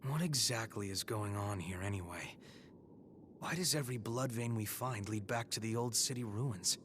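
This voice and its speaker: A man's voice: fairly low pitch, moderate pace, fairly bright tone, slight background noise, close to an everyday voice. An actor playing a friendly, easygoing young male fighter in a video game, with a casual, teasing way of talking. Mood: relaxed, curious. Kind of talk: English video game dub acting.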